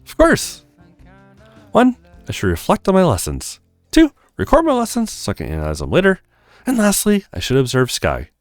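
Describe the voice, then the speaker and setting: A man's voice: high voice, wildly swooping pitch, normal speed, dry recording, faint music behind, pitched above the performer's own voice. A man voicing an eager, apologetic novice teacher from a visual novel, read aloud. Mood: confident.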